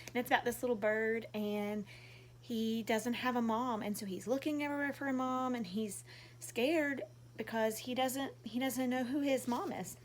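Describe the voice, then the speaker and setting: A woman doing an animated read-aloud for young children as a storytime reader. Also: fairly high pitch, slight background noise.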